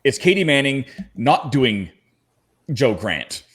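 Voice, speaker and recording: A man's voice: medium pitch, very animated, slow, fairly bright tone. A man speaking as a podcast panelist, explaining things casually in a fan discussion.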